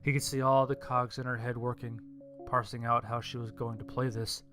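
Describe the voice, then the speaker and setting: A man's voice: mid-range voice, level tone, normal speed. A man reading a scripted story as its narrator. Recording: music behind the voice. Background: music.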